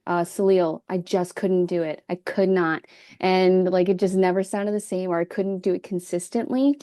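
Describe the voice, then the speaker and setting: A woman chatting casually in an author interview, quick and self-deprecating. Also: mid-range voice, a little mellow, dry recording, clean studio sound. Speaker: guest author.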